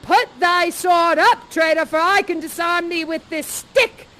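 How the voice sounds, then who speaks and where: A woman playing a scheming island ruler and parent, booming and mock-stern, with a theatrical laugh. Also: unhurried, a bit bright.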